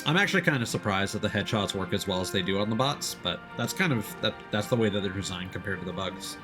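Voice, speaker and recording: A man's voice: lowish voice, animated, quick pace, over music. A man giving casual live game commentary, thinking aloud and explaining things as he plays. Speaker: streamer, gamer.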